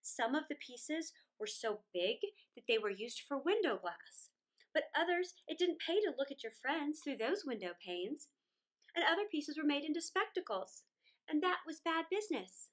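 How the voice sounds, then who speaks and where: A middle-aged woman reading a children's story aloud in a theatrical, deliberate way, drawing out words with dramatic pauses. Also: sits high, big pitch swings. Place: small indoor room against a plain wall.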